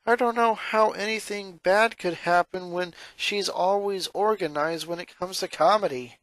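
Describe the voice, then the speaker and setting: A man's voice: high-pitched, steady tone, moderate pace, a bit bright, no echo, pitched above the performer's own voice. A man voicing a put-upon young boy in a cartoon fan story, grumbling and reluctant. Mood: glum.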